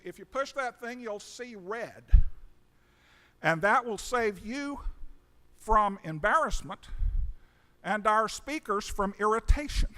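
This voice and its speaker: A man moderating a conference panel from a podium in a museum auditorium. He speaks in a joking, halting way with many uhs. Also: sits high, fairly bright tone. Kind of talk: folksy conference introduction. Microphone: podium microphone. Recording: long hall reverb.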